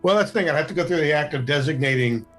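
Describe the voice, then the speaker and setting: A man's voice: on the high side, animated, very fast, over loud music. A man talking casually as a player at a tabletop role-playing game.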